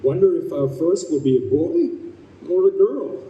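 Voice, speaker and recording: A man playing a gentle, consoling, wonderstruck young fiancé in an old-time radio play. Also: on the high side, sing-song, a little slow, dull audio. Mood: awed wonder. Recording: echoey hall, distant microphone.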